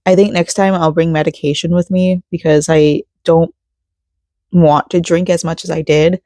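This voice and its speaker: A hoarse American woman in her thirties talking casually and ramblingly, as in a personal vlog. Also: on the low side, level tone, normal speed, dark tone, dry recording. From Florida, United States. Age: 30s. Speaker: vlogger.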